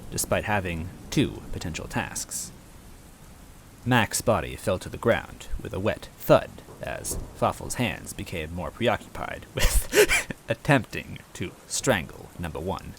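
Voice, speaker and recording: A man reading a scripted comic story as narrator, with wry, deadpan asides. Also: low voice, measured pace, vocal fry, low-quality recording. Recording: rain noise and game music behind.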